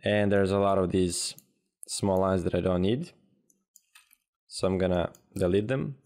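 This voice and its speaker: A man teaching a software tutorial over a screen recording, thinking aloud with frequent pauses and restarts. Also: deep voice. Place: at a computer, voice-over of screen capture.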